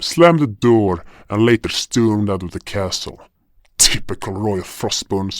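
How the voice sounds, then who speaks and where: A man's voice: on the low side, dry recording, clean studio sound, natural voice. An actor playing an indignant, impulsive frost guardian beast, telling his fantasy tale in a dramatic, grumbling manner. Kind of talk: first-person fantasy story narration and dialogue.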